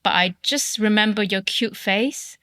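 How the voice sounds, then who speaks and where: Woman, podcast host, bright sharp tone, blunt, teasing, swears, close dry mic. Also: mid-range voice, unhurried, dry recording. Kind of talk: casual chat.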